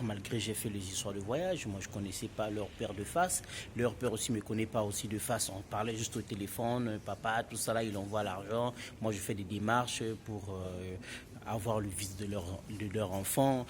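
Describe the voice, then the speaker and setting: A man's voice: on the low side, fairly even intonation, normal speed. Congolese-accented man storytelling over background noise. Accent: Congolese French. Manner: emphatic, repetitive, addressing listeners as buddies. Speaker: vlogger telling his own story. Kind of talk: casual personal storytelling to camera. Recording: clearly noisy ambient background.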